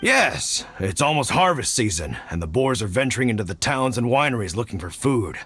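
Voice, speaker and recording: A man's voice: medium pitch, big pitch swings, a little fast, close to an everyday voice. An actor playing a middle-aged hunter and caring father in an anime game, reflective and plain-spoken. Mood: hearty. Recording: faint music behind.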